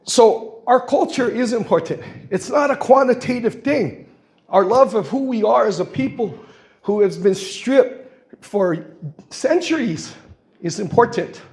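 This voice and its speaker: A man in his sixties from Guam, with a noticeably high voice, addresses a public forum. He tells stories in a plain, earnest way and acts out the voices of the people he quotes. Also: expressive, unhurried, darkish tone. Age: 60s. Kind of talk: impassioned public comment. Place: public meeting hall.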